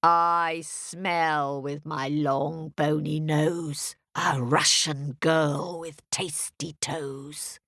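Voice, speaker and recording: A woman voicing a menacing old witch with a long bony nose, speaking slowly and drawn out. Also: deep voice, steady tone, a bit bright, no echo, clean studio sound, heavily acted. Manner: slow, drawn-out, sinister.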